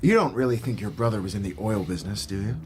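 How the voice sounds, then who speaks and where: An actor playing a cool, world-weary government agent in a radio drama, measured, dry and probing. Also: male voice, lowish voice, a little hiss or hum, natural voice. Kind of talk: naturalistic radio drama acting.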